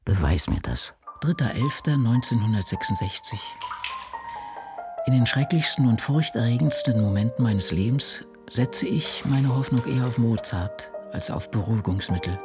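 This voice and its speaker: A man acts out an anguished, introspective novelist in a literary radio play. The delivery is intimate and measured, yet the melody swings expressively. Music plays clearly behind the voice. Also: medium pitch, expressive, natural voice. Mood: brooding, bitter. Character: brooding, anguished novelist confiding in a diary.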